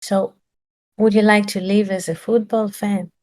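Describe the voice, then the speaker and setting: A woman's voice: mid-range voice, flat delivery, measured pace, darkish tone. Woman giving a searching spiritual talk. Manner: searching for words, rhetorical questions. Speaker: spiritual teacher.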